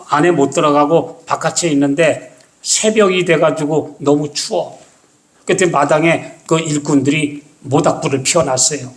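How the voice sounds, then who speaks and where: A man preaching with a voice pitched a little above the usual male range. He delivers his sermon as a pastor, leaning into his words and stretching out phrases for emphasis. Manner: emphatic storytelling with dramatic slow stresses.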